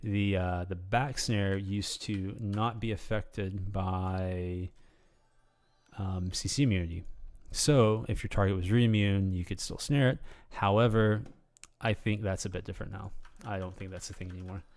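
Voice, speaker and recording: A man with a slightly hoarse voice giving an unscripted, rambling game tutorial, full of ums and restarts in a relaxed, casual way. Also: low voice, unhurried. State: slightly hoarse, losing his voice. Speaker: game guide video creator.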